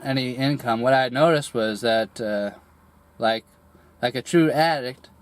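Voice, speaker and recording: A young man getting over a cold, his voice a little raspy, telling a personal story slowly with many pauses, fillers and throat clearing. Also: medium pitch. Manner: hesitant, rambling, many fillers and restarts, clears throat often. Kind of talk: candid personal monologue.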